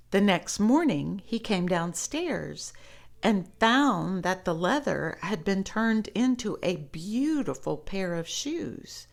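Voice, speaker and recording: A woman reading stories aloud to children in an expressive, conversational way, as if talking directly to young listeners. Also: fairly low pitch, creaky. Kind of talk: read-aloud storytelling with chatty commentary.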